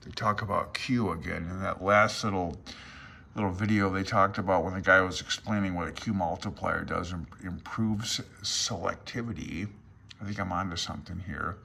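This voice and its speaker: Deep, gravelly middle-aged man. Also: sharp tone, a little hiss or hum. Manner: hesitant, meandering, self-deprecating, thinking aloud. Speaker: amateur radio vlogger. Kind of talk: informal rambling walkthrough of a handbook. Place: small room at home.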